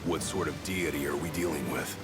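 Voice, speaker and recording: Very deep, blunt tough guy. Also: fairly even intonation, fairly quick. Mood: wary. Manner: blunt, terse. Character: gruff, blunt, suspicious male bodyguard type. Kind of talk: video game cutscene acting. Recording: loud music behind the voice.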